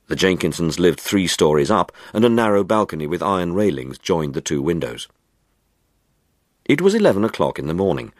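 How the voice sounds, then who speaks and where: Deep-voiced man reading a dark story. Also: dry recording, studio quality. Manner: measured, expressive storytelling. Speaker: audiobook narrator. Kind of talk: scripted literary narration of a dark short story.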